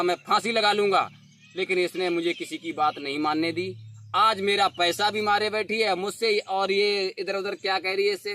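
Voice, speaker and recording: A man's voice: high-pitched, pushed and bright. A young man, talking insistently and aggrieved as he defends himself to listeners. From Uttar Pradesh, India. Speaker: dholak player defending himself. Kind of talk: emotional self-recorded statement.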